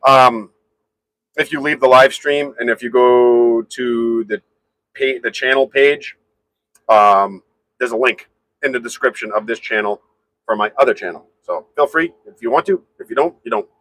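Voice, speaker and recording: A man with a very loud voice chats casually on a live stream, rambling from topic to topic and answering comments. His voice sits at an ordinary middle pitch. The sound is dry and close. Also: moderate pace, dry recording, poor audio. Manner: rambling, chatty, reads and answers viewer comments. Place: home garage.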